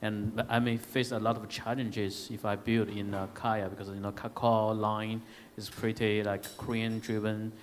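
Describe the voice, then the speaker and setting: A man with a Hong Kong accent asking a spontaneous, halting question from a conference audience. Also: on the low side, flat delivery. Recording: echoey noisy hall.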